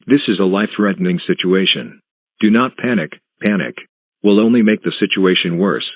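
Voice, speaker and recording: A man reading scripted emergency weather warnings as an announcer, in a formal, measured, deliberate way. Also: lowish voice, no echo. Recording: narrow, phone-like band.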